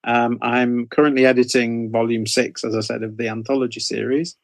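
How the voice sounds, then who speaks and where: Male interview guest, middle-aged. Informal, self-correcting delivery with a creaky edge, recorded dry. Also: mid-range voice, little pitch movement, brisk, dry recording. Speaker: guest author interviewed about writing career. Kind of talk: casual interview chat.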